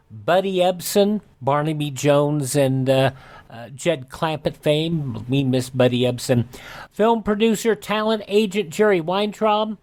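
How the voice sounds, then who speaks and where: A man hosting a radio show, reading history notes and anniversaries in a scripted announcer style. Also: high voice. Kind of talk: this-day-in-history radio narration.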